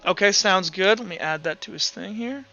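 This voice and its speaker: Male game master, high forceful voice, informal tabletop storytelling over soft music. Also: normal speed, vocal fry, muffled recording. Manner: casual, informal, sound-effect-laden descriptions. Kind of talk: narrating a tabletop roleplaying game.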